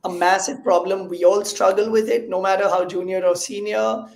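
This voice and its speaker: A man with an Indian accent, a startup CEO talking as a guest in a conversational interview, energetic and quick, sometimes repeating words as he starts. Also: high-pitched, gravelly. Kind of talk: casual conversational explaining. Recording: roomy echo on voice.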